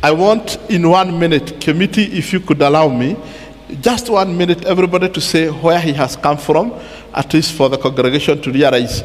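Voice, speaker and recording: A man's voice: fairly high pitch, moderate pace. A man hosts a live event, giving instructions to those gathered. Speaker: event host. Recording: long hall reverb.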